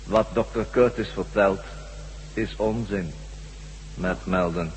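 An actor playing a calm, menacing, smug alien who commands others in a radio drama. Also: male voice, sits low, takes it slowly. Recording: hollow reverberant hall, faint hiss and hum.

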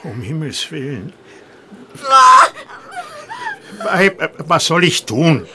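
A middle-aged medieval village priest, stern, pious and scornful of women. He speaks slowly and gravely, swinging widely in pitch as he preaches, with a noisy scene around him. It is radio drama. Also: lively intonation. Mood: panicked. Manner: grave, preaching, lapses into Latin. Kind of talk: German radio drama acting.

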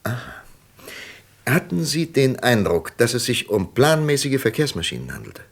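An actor playing a patient, shrewd investigator in a German radio crime drama, questioning deliberately with thoughtful pauses. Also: male voice, mid-range voice, wildly swooping pitch, vocal fry, husky, exaggerated voice. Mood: patient, coaxing. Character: patient, methodical male FBI investigator, probing and shrewd.

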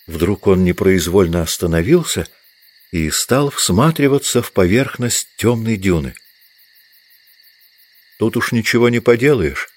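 A man narrating a literary audio drama as a measured storyteller, reading from a script. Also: lowish voice.

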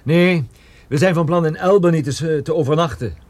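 A man's voice: a little high, fairly quick, darkish tone, natural voice. A man playing a private detective in a radio drama, plain-spoken and on the defensive. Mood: calm. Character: male private detective suspected of smuggling, defensive.

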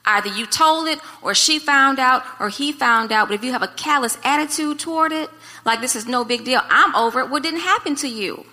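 Bright American woman speaking in reverberant hall. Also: high voice, a little fast. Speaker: co-speaker at a marriage conference. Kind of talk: conversational sermon advice.

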